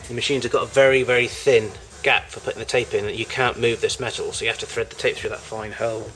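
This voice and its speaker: A man giving an informal, unscripted show-and-tell as a collector of old machines, in a halting, rambling way with frequent self-corrections. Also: mid-range voice, level tone, fairly bright tone, soft background music, rough recording. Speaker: collector showing vintage tape recorders.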